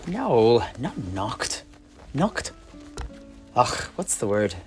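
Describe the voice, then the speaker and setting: A man plays a roguish, cheerful alchemist in a fantasy audio drama. His talk is breezy and folksy, with lively swings in pitch. Faint music plays underneath. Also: drawn-out words. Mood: unbothered, folksy. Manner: folksy, breezy, dialect grammar. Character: cheerful brash wandering male alchemist, blood-spattered, unbothered. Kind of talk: in-character audio drama dialogue.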